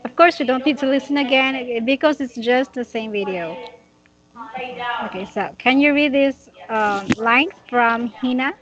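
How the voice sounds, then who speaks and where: A dull, lo-fi recording carries the fairly high voice of a woman in her late twenties. She teaches English conversationally, in simple halting phrases with a giggly manner. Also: crowd noise. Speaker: English teacher in a one-on-one lesson. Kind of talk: casual conversational tutoring.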